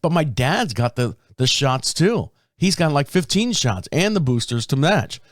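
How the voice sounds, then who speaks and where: A man hosting his own podcast in casual talk, a bit raspy from a sore throat, rambling and restarting his sentences. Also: big pitch swings, unhurried, dry recording, studio quality. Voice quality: deep. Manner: rambling, self-interrupting storyteller. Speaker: podcast host. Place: small podcast studio. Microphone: studio microphone.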